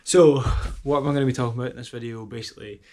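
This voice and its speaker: A young man with a Scottish accent, chatting casually in a vlog as an outdoor instructor, relaxed and full of ums and restarts. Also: mid-range voice, rapid-fire. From Scotland.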